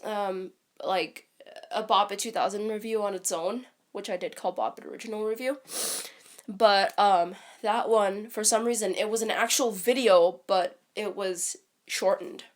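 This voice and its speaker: A congested woman chats on a live stream, her voice stuffy from a cold. Her pitch sits mid-range for a woman, and she rambles along with plenty of ums and false starts. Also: steady tone, measured pace. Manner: rambling with many ums and restarts. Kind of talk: casual live stream talk.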